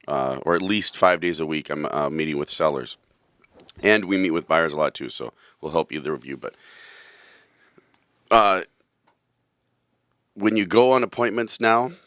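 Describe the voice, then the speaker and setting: Hoarse radio host, very low voice, dry studio sound, loose rambling storyteller. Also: male voice, fairly bright tone, dry recording. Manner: rambling storyteller, self-deprecating. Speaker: radio show host, real estate agent. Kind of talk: casual radio talk.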